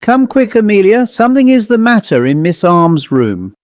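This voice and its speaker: A kindly but anxious landlady in a storybook ghost tale, played by a male reader. The voice is strained and lifted in pitch, with a dry, close sound. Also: sing-song, normal speed, a little mellow, dry recording.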